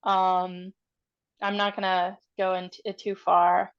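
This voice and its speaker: A woman's voice: fairly low pitch, level tone, slow pace, clean tone. A woman playing a tabletop roleplaying game, improvising casually and tentatively, often trailing off. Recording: slightly dull, lo-fi audio.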